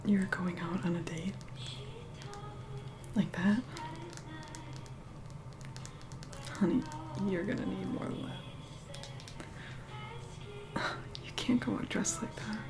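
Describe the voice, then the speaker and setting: A woman's voice: medium pitch, steady tone, takes it slowly, long pauses, lightly acted, poor audio. A woman playing a haughty, impatient immortal vampire in a whispered ASMR role-play, curt, condescending and bossy as she reluctantly helps. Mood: annoyed, dismissive. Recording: close whispered voice under loud music. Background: music.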